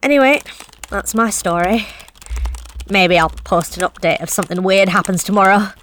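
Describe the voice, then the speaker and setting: Actress as a nervous courier, mid-pitch, confessional and sweary; audio drama with traffic sounds behind. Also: female voice, moderate pace, a bit bright, close to an everyday voice. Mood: uneasy. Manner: confessional, tense, blunt swearing. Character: nervous young woman delivery driver, swearing. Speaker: narrating actor. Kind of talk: first-person audio drama monologue.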